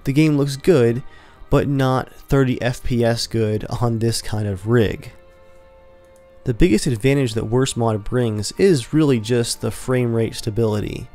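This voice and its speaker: A man of middle pitch hosting a gaming vlog, talking in a relaxed, conversational ramble. Faint music plays quietly behind his voice. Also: unhurried. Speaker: gaming channel host. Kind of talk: casual gameplay commentary.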